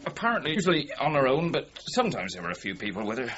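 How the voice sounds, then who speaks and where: A man's voice: mid-range voice, big pitch swings, racing, close to an everyday voice. An actor playing a deferential British police officer in a radio drama, giving a matter-of-fact report to his superior. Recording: dull lo-fi radio recording, faint noise.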